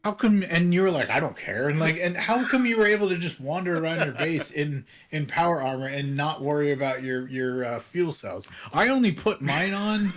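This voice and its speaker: A man with a raspy, gravelly voice, co-hosting a casual chat over voice chat, halting and restarting often. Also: fairly high pitch, animated, a bit bright. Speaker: co-host. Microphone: computer mic over voice chat.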